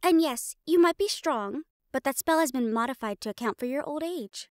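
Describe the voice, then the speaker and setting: A woman's voice: high voice, lively intonation, normal speed, dry recording, studio quality, pitched above the performer's own voice. A woman playing a feisty young female hunter, brash and casual, in an audio roleplay. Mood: cocky.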